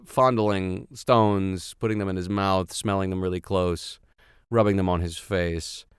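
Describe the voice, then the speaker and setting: A man's voice: deep voice, fairly even intonation, unhurried, clear, resonant tone, dry recording, clean studio sound. A man reading a classic novel aloud as host, dropping irreverent, slangy asides into the formal narration. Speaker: host and reader. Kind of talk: audiobook reading with joking improvised asides.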